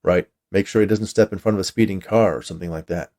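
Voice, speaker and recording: A man's voice: low voice, fast, darkish tone, natural voice. A man voicing a matter-of-fact veteran watcher who explains the job to a new hire in a story reading. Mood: matter-of-fact explaining.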